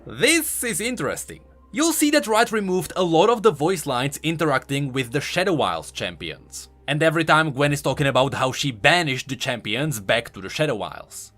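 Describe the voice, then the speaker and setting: A man's voice: on the high side, big pitch swings, moderate pace, hardly any pauses, a bit bright. A man giving emphatic, explanatory scripted commentary on video game lore as an online host. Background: background music.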